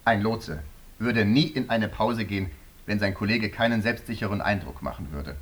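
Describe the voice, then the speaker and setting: An actor playing a stubborn, defensive senior official of an aviation authority in a German radio drama, speaking emphatically. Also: male voice, on the low side, moderate pace, a bit bright, lightly acted. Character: defensive, stubborn male air-traffic authority executive.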